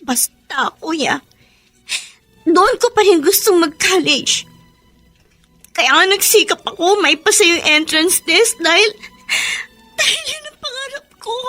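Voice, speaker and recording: Radio drama teenage daughter: very high, bright, headstrong and anxious, music behind. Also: female voice, expressive, slow, pauses often, full character voice. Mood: pleading, determined. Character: teenage daughter, stubborn, anxious, determined dreamer. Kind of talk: emotional radio drama acting.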